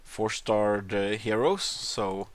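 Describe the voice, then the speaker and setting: A man's voice: fairly low pitch, slow, no room sound. A man from Sweden with a Swedish accent, casually commenting on his game as he plays, with lots of fillers and restarts. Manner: rambling, hesitant, many fillers and restarts. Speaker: gaming channel host.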